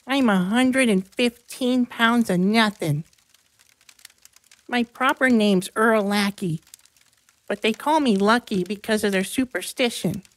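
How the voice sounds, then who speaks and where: A woman's voice: medium pitch, lightly acted. A woman voicing a scrawny, self-pitying teenage boy in a dramatic audiobook. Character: scrawny, pleading teenage boy football benchwarmer. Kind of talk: audiobook character acting.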